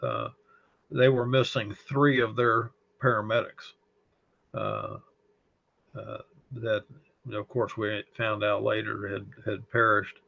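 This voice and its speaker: A middle-aged man with a slight Texas accent tells his story slowly, stopping often to gather his thoughts. His voice has a rough, gravelly texture. The recording sounds muffled and lo-fi. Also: on the low side, animated. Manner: unhurried, halting, with many pauses and fillers. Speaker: fire service chaplain and paramedic. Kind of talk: recounting memories in an interview. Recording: dull lo-fi audio.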